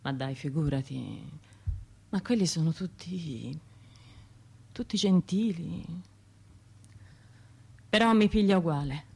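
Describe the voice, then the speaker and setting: An actress playing an anxious, self-doubting trauma survivor in a radio drama, talking to herself in broken, halting phrases with a Tuscan accent. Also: female voice, low-pitched, lively intonation, gravelly, a little mellow, slightly rough, heavily acted. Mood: restless, self-scolding. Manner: halting, fragmented, self-interrupting inner monologue.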